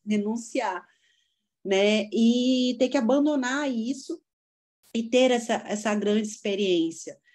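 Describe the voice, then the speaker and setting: A woman's voice: fairly high pitch, slow, a little mellow. A woman hosting a faith podcast, asking long questions and affirming her guest. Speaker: podcast host. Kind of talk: casual interview chat.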